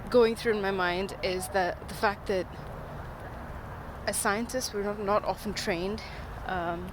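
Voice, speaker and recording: A researcher speaking informally but earnestly in a measured, formal way, explaining things in a monologue. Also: medium pitch, steady tone. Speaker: diabetes researcher and podcaster. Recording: loud outdoor traffic or car noise.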